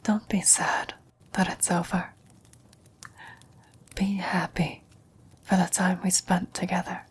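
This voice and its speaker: A woman playing a gentle, wise, dying old woman in a whispered, breathy, solemn ASMR roleplay. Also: on the low side, flat delivery. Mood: tender, grateful. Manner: slow, solemn, measured delivery.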